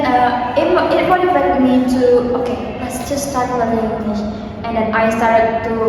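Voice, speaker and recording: A young woman from Indonesia with an Indonesian accent, chatting casually as an interview guest, with lots of fillers and frequent laughs. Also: fairly high pitch. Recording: echoey room from far off, noisy.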